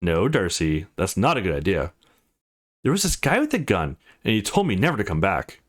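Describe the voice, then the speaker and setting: A man's voice: sits low, huge pitch swings, moderate pace, pitched below the performer's own voice, full character voice. A man voicing an earnest, troubled teenage boy in a visual novel, serious and insistent as he explains himself. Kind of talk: visual novel character reading.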